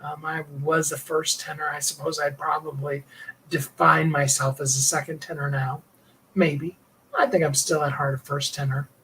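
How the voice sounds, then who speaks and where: A middle-aged man with a higher tenor voice, hosting a livestream and talking in a rambling, heartfelt way with small laughs. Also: flat delivery, normal speed, a bit bright, slight background noise, rough recording. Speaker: livestream host and singer. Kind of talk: reflective personal livestream talk.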